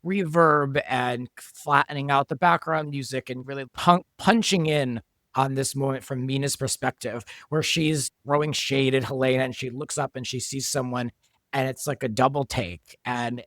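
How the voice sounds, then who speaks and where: A man's voice: medium pitch, steady tone, moderate pace, a bit bright, dry recording. A man in an interview, speaking conversationally and reflectively, as if thinking aloud about creative work. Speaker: podcast guest, audio drama writer and sound designer. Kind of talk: behind-the-scenes interview chat.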